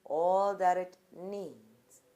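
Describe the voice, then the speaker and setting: A woman leading a guided group meditation, speaking deliberately in short phrases with pauses between them. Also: lowish voice, steady tone, mellow. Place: live group session at a centre.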